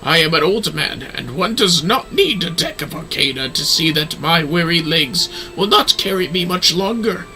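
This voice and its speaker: Elderly male stargazer, played by a man, weary, wry, formal, theatrical, lively intonation, high-ish, fantasy game. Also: moderate pace, hardly any pauses, faint music behind, low-quality recording. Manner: formal, theatrical, archaic phrasing. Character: elderly male astrologian, weary, lofty, wryly jesting.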